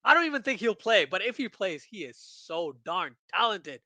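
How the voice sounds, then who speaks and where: High-pitched, bright-voiced male cricket streamer. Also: normal speed, no room sound. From Pakistan. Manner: excitable, repeats phrases for emphasis. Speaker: livestream host and cricket commentator. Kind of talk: casual watchalong chat, reading viewer comments aloud.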